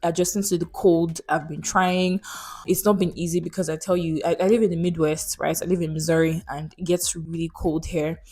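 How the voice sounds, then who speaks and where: A young woman with a Nigerian accent talks straight to her viewers in a relaxed, frank vlog. Her voice sits a little low, and she often turns to the audience with questions like an old friend. The delivery is chatty and unscripted. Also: a little fast, husky. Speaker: vlogger. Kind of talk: casual talk to camera.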